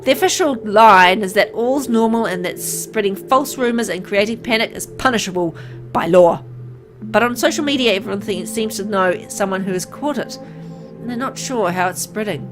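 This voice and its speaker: A woman voicing a caring, stubborn young woman visiting her sick partner, brisk and affectionate, in a visual novel. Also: medium pitch, soft background music, lightly acted. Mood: worried.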